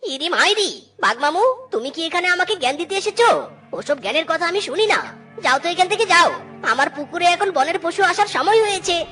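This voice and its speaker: A cartoon fox swindler, speaks in an extremely high voice. He is sly, cocky and wheedling, with a cackling delivery. Music is clearly heard behind him. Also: lively intonation, moderate pace, heavily acted. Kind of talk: Bengali cartoon voice acting. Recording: loud music under the voice. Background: background music.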